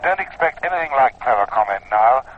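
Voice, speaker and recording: A man's voice: medium pitch, lively intonation. Awed man on a thin, phone-like recording. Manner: grandiose, awed, halting. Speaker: expedition reporter. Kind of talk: unscripted on-location radio commentary. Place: outdoors, sheltering under a blanket in wind. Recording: phone-like, noisy vintage field recording. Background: noise, wind.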